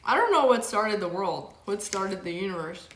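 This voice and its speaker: A woman's voice: mid-range voice, animated, moderate pace, fairly bright tone, echoey room, slight background noise. A young woman hosting a casual livestream, reading chat aloud and rambling with lots of fillers. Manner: rambling, filler-heavy, frequent "like". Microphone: camera mic.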